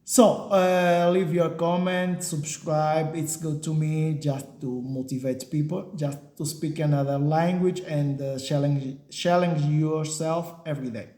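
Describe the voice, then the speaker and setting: Slow, halting man. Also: on the high side, steady tone, mellow. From Portuguese-speaking country. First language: Portuguese. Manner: halting non-native English, many fillers and restarts. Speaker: software engineer and channel host. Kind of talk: casual talking-head vlog. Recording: echoey room.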